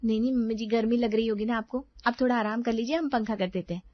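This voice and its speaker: A woman's voice: on the high side, fairly even intonation, fast talker, no echo, highs cut off, natural voice. A woman voicing a naive, literal-minded young daughter-in-law in a cartoon, innocent and obliging. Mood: caring.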